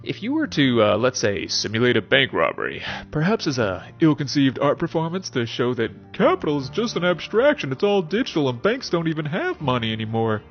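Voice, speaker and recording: A man's voice: mid-range voice, huge pitch swings, a little fast, few pauses. A man hosting an explanatory philosophy monologue in a conversational way, with theatrical emphasis and rhetorical check-in questions. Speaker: host of a philosophy talk series. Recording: dull lo-fi sound. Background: music.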